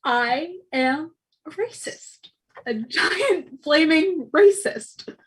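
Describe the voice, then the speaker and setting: Casting: actress as frazzled young food co-op employee, high voice, very animated, earnest and melodramatic, comedy read. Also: female voice, drawn-out words, exaggerated voice, rough recording. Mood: distraught confession. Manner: stammering, overwrought. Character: anxious, guilt-ridden young co-op worker, earnest and dramatic. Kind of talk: comedy table read acting.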